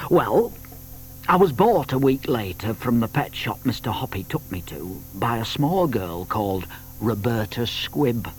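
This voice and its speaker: Lively, low-voiced storyteller tortoise. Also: male voice, a little hiss or hum, rough recording. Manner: expressive, confiding storyteller. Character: storyteller, an old pet tortoise, genial and wry. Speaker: narrator. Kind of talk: animated storybook narration in an audio drama.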